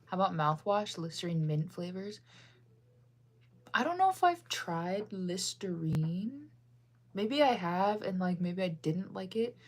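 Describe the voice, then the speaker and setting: A young woman from the United States rambles through a casual livestream chat, answering viewers as she goes. Her voice sits a little low and she keeps circling back with fillers and restarts. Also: very animated, a little slow, a little mellow. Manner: rambling, chatty, lots of fillers and self-corrections.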